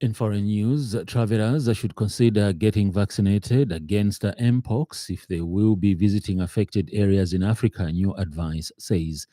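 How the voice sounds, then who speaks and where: A man reads scripted radio news in a very dark, low voice. His delivery is formal and measured, with some halting, and the studio sound is dry and close. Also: no echo. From Zambia.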